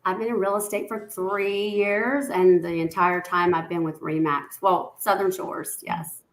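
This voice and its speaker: A woman's voice: mid-range voice, steady tone, a little slow, echoey room. A woman from South Carolina, a real estate agent, giving polite, brief answers.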